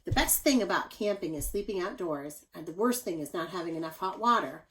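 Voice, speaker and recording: A woman's voice: fairly low pitch, fairly quick, a little hiss or hum, rough recording. Woman reading a picture book aloud. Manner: animated, addresses young listeners with asides. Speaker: teacher reading aloud to children.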